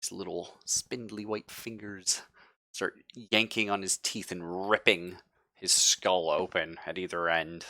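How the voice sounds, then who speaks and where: A man with a very low, bright-edged voice works as game master of a horror tabletop game. He narrates at an unhurried, deliberate pace with pauses for detail, then slips into casual chat. The sound is dry and close to the mic. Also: steady tone, no echo. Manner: slow, deliberate, vivid descriptive storytelling with pauses.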